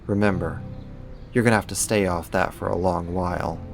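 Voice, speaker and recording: A man acting in an ASMR roleplay as a caring, wry young supervillain roommate, speaking softly and conversationally with hesitant pauses and gentle teasing. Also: low voice, steady tone, gravelly, soft background music. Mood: casual, wry.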